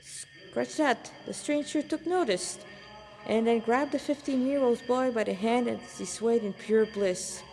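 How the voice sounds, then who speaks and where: A woman's voice: on the high side, measured pace, darkish tone, long reverb tail, low-quality recording. A woman reading a story aloud as narrator, an amateur who stumbles over phrases and repeats them. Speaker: amateur fan fiction author reading aloud.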